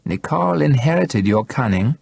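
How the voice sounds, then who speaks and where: A man's voice: medium pitch, animated, moderate pace, darkish tone, slightly rough. A man voicing a mocking, coolly menacing male villain in an audiobook, casual, scornful and mock-polite. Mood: grudging admiration. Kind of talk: audiobook character acting.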